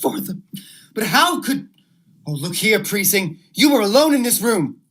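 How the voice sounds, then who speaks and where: A woman's voice: mid-range voice, sing-song, unhurried, a bit bright, husky, heavily acted. A young woman playing an agitated, accusing man in an old-style radio thriller, with a dramatic delivery. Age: young adult. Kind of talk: 1940s radio thriller acting.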